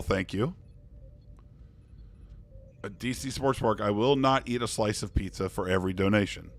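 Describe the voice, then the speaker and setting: Gravelly low-voiced man streaming. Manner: easygoing, thanks viewers, jokes in character as a starship commander. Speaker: poker streamer. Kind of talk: casual live-stream chat while playing online poker.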